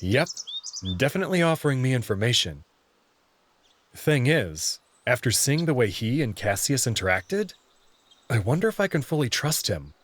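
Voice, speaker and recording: A man's voice: medium pitch, very animated, moderate pace, slight background noise. A man reading scripted first-person narration for a visual novel.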